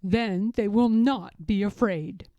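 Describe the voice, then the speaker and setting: A woman voicing an earnest, impatient, anxious male toad in a storybook, with emphatic, drawn-out delivery. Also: medium pitch, no room sound, lo-fi sound, natural voice. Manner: emphatic, loud, drawn-out exclamations.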